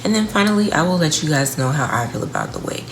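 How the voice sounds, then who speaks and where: A woman's voice: sits low, very animated, fast. A young woman reviewing a wig for her channel, a little congested from a cold, chatty and talking straight to her viewers. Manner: chatty, addresses viewers directly. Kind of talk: casual beauty review vlog. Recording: noisy home recording.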